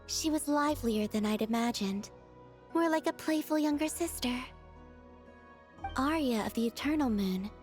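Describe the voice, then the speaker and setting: A woman voicing a gentle, naive young moon goddess in a video game, soft and airy, with a measured, formal way of speaking. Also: on the high side, close to an everyday voice. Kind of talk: video game character acting. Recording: faint music behind the voice. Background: game music.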